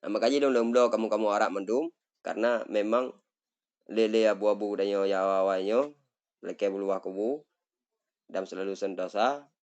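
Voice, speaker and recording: A man's voice: fairly low pitch, darkish tone, dull audio. Acehnese man teaching from an Arabic text. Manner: reads Arabic phrases slowly, then glosses them in Malay-Indonesian. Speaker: religious teacher. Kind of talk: kitab study lesson, reading Arabic text and explaining.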